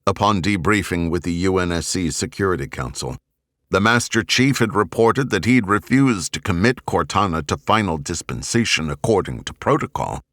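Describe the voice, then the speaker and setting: A man narrating an audiobook novel in a grave, measured, dramatic way with deliberate pauses. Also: sits low, a bit bright, no room sound, studio quality. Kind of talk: dramatic scripted narration of a science fiction novel.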